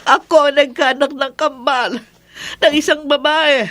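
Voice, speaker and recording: A tormented mother in a horror radio play. Her high voice moves slowly, heavy with tearful guilt and melodramatic pleading. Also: fairly even intonation, a bit bright. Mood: tearful remorse. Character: anguished, guilt-ridden mother confessing a secret.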